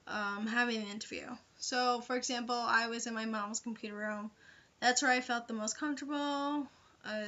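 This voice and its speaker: A young woman talks in a bright, slightly high voice, as if giving friendly tips to viewers of her vlog. Her delivery is casual and chatty, full of informal hedges. The sound is a bit dull and lo-fi, like a simple home recording. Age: young adult. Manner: informal, hedging, frequent "like" and "you know". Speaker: vlogger giving interview tips.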